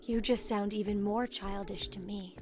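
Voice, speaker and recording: An actress voicing an earnest young woman in a video game, admiring and quick to chide. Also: mid-range voice, fairly even intonation, close to an everyday voice. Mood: reproachful. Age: young adult. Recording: game audio with music behind.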